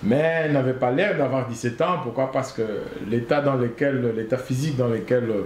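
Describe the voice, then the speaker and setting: A man from Congo, a guest founder of an association, telling a personal story in an interview in an earnest, halting way, repeating his phrases. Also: medium pitch, darkish tone. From Congo (DRC). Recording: echoey room, faint noise.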